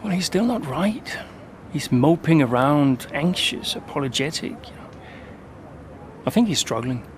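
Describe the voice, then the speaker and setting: A seasoned, guarded racing driver. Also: male voice, on the high side, lively intonation, slight background noise. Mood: defensive. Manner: measured, quietly advising. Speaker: veteran racing driver near retirement. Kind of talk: video game cutscene acting.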